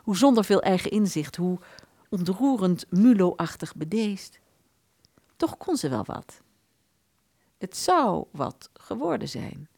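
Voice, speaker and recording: A woman reading literary narration for a radio play in an expressive storytelling manner. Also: medium pitch, slow pace, gravelly, long pauses, no room sound.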